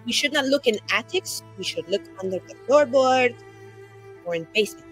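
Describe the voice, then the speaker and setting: A woman's voice: fairly high pitch, animated, normal speed, soft background music. A woman playing a tabletop roleplaying game, planning casually in informal, slangy speech. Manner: informal, slangy, frequent use of like.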